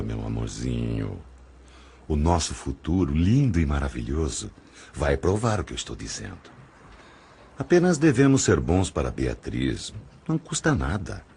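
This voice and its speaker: A very low, raspy-husky male lover played by an actor in an audiobook drama. He is a smooth-talking older suitor, declaiming his devotion with sweeping, lively intonation. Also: measured pace, full character voice. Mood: amused, flattering. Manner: romantic, persuasive, declamatory.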